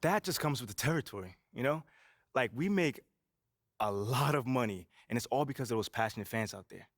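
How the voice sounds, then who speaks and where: A cocky young pro basketball rookie in a sports game, talking in a laid-back, brash way and leaning on "you know" again and again. His voice sits at an ordinary middle pitch, and the recording is close and dry. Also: lively intonation, a little fast, no echo. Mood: composed. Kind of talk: video game cutscene voice acting.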